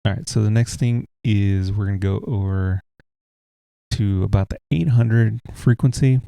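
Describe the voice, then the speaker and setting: A man with a deep voice giving a relaxed, rambling tutorial from home, talking into a desk mic without a pop filter. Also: unhurried, vocal fry, no echo. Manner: relaxed, rambling explanations with fillers. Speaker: tech tutorial host. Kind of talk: casual screen-recorded tutorial. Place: home room with a heater running. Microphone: USB desk mic without a pop filter.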